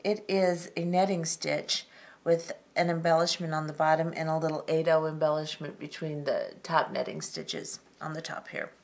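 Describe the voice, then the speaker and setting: A middle-aged woman hosting a step-by-step beading tutorial, talking calmly and methodically with frequent ums. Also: sits low, normal speed, vocal fry. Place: tabletop craft workspace, indoors.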